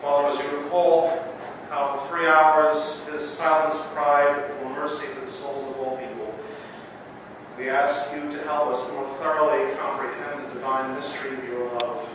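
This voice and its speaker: Earnest preacher in an echoey church. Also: male voice, a little high, little pitch movement, normal speed. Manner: earnest preaching with emphatic rises. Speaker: pastor. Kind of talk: sermon and scripture reading. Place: church sanctuary with pipe organ. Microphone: far camera. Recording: echoey church from far off, noisy.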